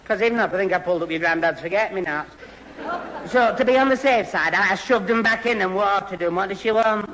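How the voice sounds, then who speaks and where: A man's voice: high voice, brisk, bright, forceful tone, a faint crowd. An actor playing a gormless, put-upon young man who stammers and splutters in exasperation in an old radio sitcom. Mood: hesitant. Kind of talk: 1970s radio sitcom acting. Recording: reverberant old radio recording, faint noise.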